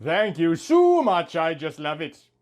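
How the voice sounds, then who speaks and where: A man's voice: a little high, wildly swooping pitch, measured pace. Man playing a gushing, cooing young bride. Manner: cooing, overdone delivery.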